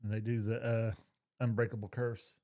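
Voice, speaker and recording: A man's voice: on the low side, flat delivery, moderate pace, mellow. A man co-hosting a podcast in casual chat, with a folksy, colloquial way of talking. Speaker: podcast co-host. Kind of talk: casual chat about books and shows.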